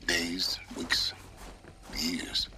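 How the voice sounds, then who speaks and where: Deep, commanding grim mentor. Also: male voice, monotone, bright, forceful tone, in a whisper, exaggerated voice. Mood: stern, instructive. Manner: measured, solemn, authoritative. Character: grim, commanding older male guide and mentor. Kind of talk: video game dramatic acting.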